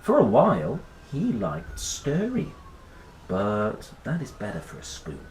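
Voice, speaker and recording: Expressive, slow-paced male storyteller in a roomy space. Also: fairly low pitch. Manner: playful, expressive, dramatic pauses and exclamations. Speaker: children's picture book reader and presenter. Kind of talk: animated storybook read-aloud with chatty asides. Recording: roomy echo, faint background noise.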